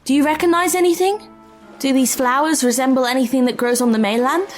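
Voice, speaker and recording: A woman's voice: sits high, steady tone, brisk, soft background music, lightly acted. A woman playing a curious, brave, level-headed adventurer in an audio drama, speaking in a precise, articulate way. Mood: curious, wondering.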